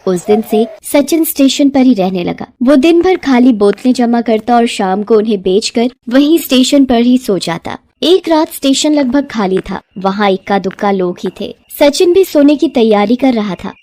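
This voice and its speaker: A woman narrating a scripted moral story in an expressive, dramatic storytelling manner. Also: a little high.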